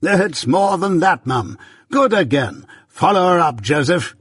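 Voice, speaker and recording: A man's voice: on the high side, big pitch swings, a little slow, a bit bright, breathy edge, no echo, clean studio sound, voice pushed up, heavily acted. A man voicing a pompous, self-satisfied older uncle, a patronizing seed merchant in a storybook.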